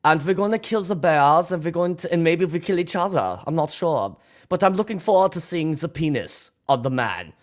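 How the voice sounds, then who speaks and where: Brash young Austrian woman, blunt and choppy, a notch higher, as a man puts her on. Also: expressive, moderate pace, natural voice. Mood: gleeful. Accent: put-on Austrian. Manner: blunt, choppy broken phrasing.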